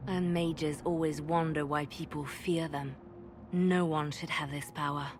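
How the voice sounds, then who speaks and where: A woman's voice: low-pitched, steady tone, measured pace, noisy background. An actress playing a hardened, embittered woman who survived torture in a video game, speaking curtly with cold, cutting delivery. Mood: cold, commanding. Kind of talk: dramatic video game dialogue.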